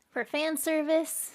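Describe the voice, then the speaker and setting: A woman streaming live, chatting casually with her chat, laughing often and trailing off with fillers. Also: sits high. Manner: giggly, laughs often between lines.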